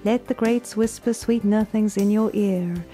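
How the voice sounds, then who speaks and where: A woman voicing a sultry late-night jazz radio announcer, slow and seductive in a station promo. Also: medium pitch, fairly even intonation, mellow, soft background music, lightly acted.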